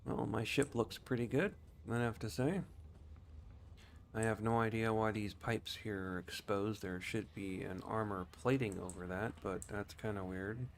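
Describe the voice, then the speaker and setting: A man streaming a video game, explaining things in a casual, rambling, unhurried way. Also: on the low side, steady tone, creaky. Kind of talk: casual gameplay commentary. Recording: faint background noise.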